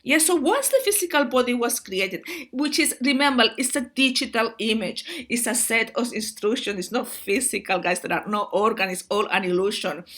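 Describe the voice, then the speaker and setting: A woman with a Spanish accent talks to her viewers in an excitable, rambling monologue, calling them guys and often restarting her words. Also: a little high, moderate pace, few pauses. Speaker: spiritual video host.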